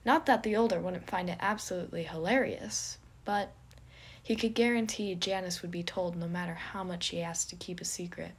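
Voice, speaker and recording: Husky-voiced woman narrating a story. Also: lowish voice, steady tone, moderate pace. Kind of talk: scripted fan fiction narration.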